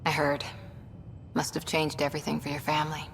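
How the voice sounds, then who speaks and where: An actress playing a young, steady, matter-of-fact ex-commando woman in a video game. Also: a little fast, vocal fry. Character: young female ex-commando soldier, dry and steady. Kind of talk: video game character dialogue. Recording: long reverb, faint rumbling background noise.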